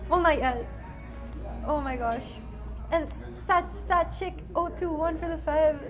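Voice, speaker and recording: A woman's voice: sits high, unhurried, soft background music. A woman in her twenties streaming casually, giggly and thanking her viewers for donations. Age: 20s. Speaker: streamer in a played clip. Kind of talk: casual live-stream chat.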